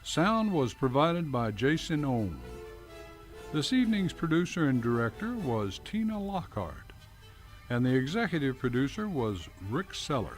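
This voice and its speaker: A man's voice: medium pitch, sing-song, unhurried, faint music behind. A man reading a scripted closing announcement and credits as a radio drama announcer.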